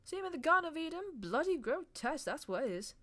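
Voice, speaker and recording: A scornful pub landlord grumbles and jeers in a raised, loud voice, as a woman reader puts him on. His tone is bright and cutting, and the delivery has the feel of a storybook character voice. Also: high voice, big pitch swings, quick pace, pitched above the performer's own voice, heavily acted. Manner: grumbling, jeering.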